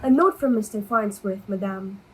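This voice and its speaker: A woman's voice: mid-range voice, normal speed, a little mellow, a little hiss or hum, natural voice, low-quality recording. A woman playing a deferential, formal household servant in a dramatic reading of a stage comedy, polite and dutiful.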